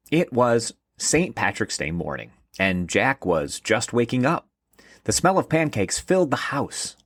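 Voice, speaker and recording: Animated male storyteller, low and husky. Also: no echo, clean studio sound. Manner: expressive storytelling. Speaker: host and narrator. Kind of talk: scripted children's story narration.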